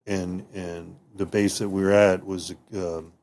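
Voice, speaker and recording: Low-voiced guy in his 50s, german was his first language, rambles through old service stories. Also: level tone, unhurried, darkish tone. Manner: rambling, many restarts and "you know"s. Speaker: retired military officer guest. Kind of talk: casual interview reminiscing.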